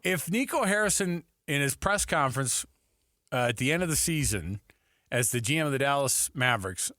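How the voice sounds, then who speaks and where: A man's voice: medium pitch, animated, moderate pace, pushed and bright, no room sound, clean studio sound. A man with an American accent co-hosting sports talk radio, emphatic and conversational.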